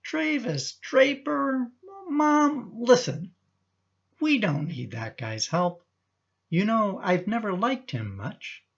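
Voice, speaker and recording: An actor playing an exasperated, judgmental adult son in a radio play, questioning and insistent. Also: male voice, sits high, very animated, slow pace, gravelly, highs cut off, full character voice. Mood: disapproving.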